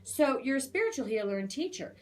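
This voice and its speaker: A woman's voice: high voice, big pitch swings, fairly quick. A woman giving a conversational spiritual reading as an aura reader, talking in a chatty, emphatic, assured way.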